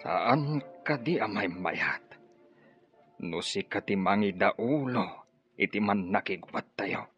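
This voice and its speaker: A man's voice: on the low side, animated, slow, lo-fi sound, faint music behind. An actor playing a proud, embittered wounded warrior father in an Ilocano radio drama, with a grim, heavy delivery. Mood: demanding.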